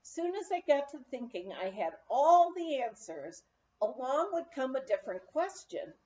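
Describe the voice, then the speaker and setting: A woman reading an anecdotal memoir aloud as an expressive storyteller. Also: high-pitched, normal speed, muffled recording. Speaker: audiobook reader.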